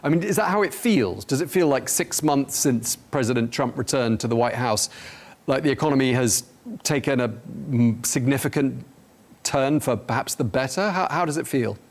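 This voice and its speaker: Male anchor, mid-range voice, even and conversational. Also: medium pitch, moderate pace. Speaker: news anchor. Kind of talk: interview questions and story intros.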